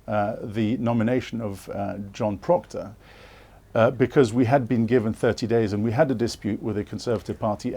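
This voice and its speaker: Male interviewee, warm mellow timbre, earnest and insistent, hesitant delivery. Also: mid-range voice, breathy edge. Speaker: interviewee, former political candidate. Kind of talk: live political TV interview.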